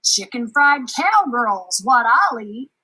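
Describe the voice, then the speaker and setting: A woman's voice: fairly high pitch, very animated, slow pace, sharp tone, slightly rough, voice pushed up, full character voice, low-quality recording. A woman voicing an angry, hungry cowboy giant in a storybook, chanting boastful threats in folksy wording. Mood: menacing.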